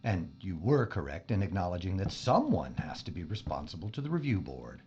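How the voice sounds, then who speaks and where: A man acting a stiff, evasive spaceship scientist in a science fiction audio drama, formal, pompous and defensive, with a husky voice. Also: low voice, very animated, brisk, a little mellow, lo-fi sound, a little hiss or hum. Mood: condescending. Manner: pompous, formal, defensive, stammering when pressed.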